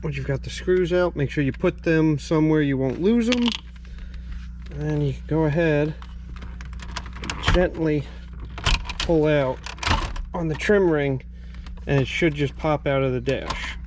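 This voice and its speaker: A man's voice: a little high, measured pace, long pauses. Man explaining a DIY job over noise. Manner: plain-spoken, step-by-step instructing. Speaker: host of a DIY garage channel. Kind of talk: casual how-to narration while working.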